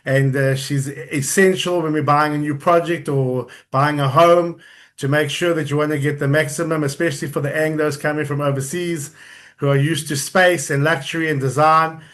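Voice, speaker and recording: Effusive man with a higher voice. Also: steady tone, normal speed, fairly bright tone. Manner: effusive, rambling. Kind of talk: introducing a speaker.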